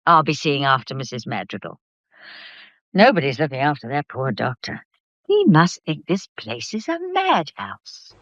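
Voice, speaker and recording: A woman's voice: sits low, sing-song, normal speed, fairly bright tone, no echo, highs cut off, heavily acted. A woman playing a bustling, motherly working-class English servant in a period audio drama, colloquial, fussing and comforting. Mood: brisk, comforting.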